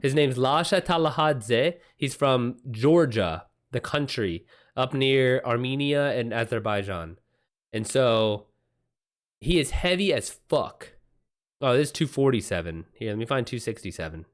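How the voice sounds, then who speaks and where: A man's voice: medium pitch, animated, unhurried. A man chatting casually on a livestream, giving loose, informal running commentary and swearing freely. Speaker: live streamer.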